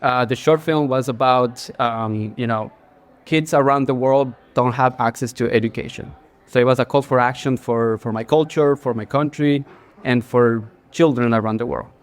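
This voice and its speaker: A man's voice: medium pitch, steady tone, moderate pace. A man in his forties from Ecuador with an Ecuadorian Spanish accent, speaking as a guest in a casual stage interview, earnest, with many fillers and restarts. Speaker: guest, creative director. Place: bar, live audience.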